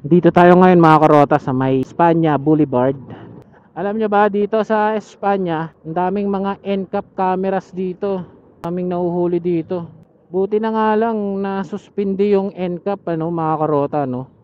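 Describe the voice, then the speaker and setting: A man's voice: high-pitched, fairly even intonation, normal speed, a little mellow, smooth. A man with a Manila Filipino accent chatting casually and informally to his viewers as a motorcycle vlogger. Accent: Filipino, Manila area. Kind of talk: casual vlog chat to viewers. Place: outdoors on a motorcycle in city streets. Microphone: helmet intercom linked to action camera. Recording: muffled helmet intercom mic, traffic noise. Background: faint vehicle and street noise.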